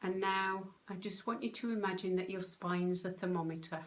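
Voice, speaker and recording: A woman leading a guided meditation in a calm, soothing, unhurried way, with pauses between short phrases. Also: mid-range voice. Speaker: meditation guide, soul coach.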